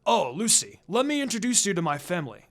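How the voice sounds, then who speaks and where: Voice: mid-range voice, very animated, fast talker. A reader voicing a friendly old man, hearty and welcoming, proud of his family.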